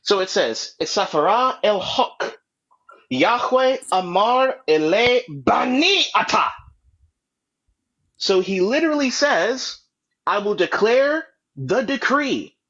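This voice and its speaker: A man's voice: high-pitched, very animated, slow pace, fairly bright tone. A man hosting a live apologetics call-in debate, pressing his point and repeating himself to cut in. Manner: insistent, repeats himself to interrupt. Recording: dull lo-fi stream audio.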